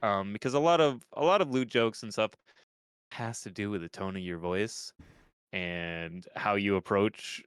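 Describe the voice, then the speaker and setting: A man's voice: lowish voice, unhurried, clean tone, dry recording. A young man with a stuffed-up nose, chatting on a podcast in a rambling way, with lots of restarts and casual swearing. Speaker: podcast host.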